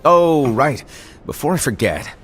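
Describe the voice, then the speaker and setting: An actor playing a casual, enigmatic male master in an animated fantasy film, with a breezy, theatrical, playful manner. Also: fairly high pitch, unhurried, faint music behind.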